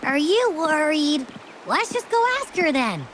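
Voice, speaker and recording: Cute little game critter, high pitched n bouncy, slight rain noise behind. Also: female voice, big pitch swings, a little slow, fairly bright tone, exaggerated voice. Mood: curious, helpful. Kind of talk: video game voice acting.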